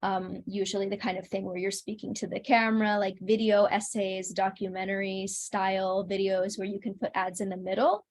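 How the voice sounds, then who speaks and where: A woman's voice: mid-range voice, flat delivery, normal speed, hardly any pauses, darkish tone. A young adult woman speaking as an interview guest, explaining things casually in orderly, articulate sentences. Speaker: interview guest, singer and video creator.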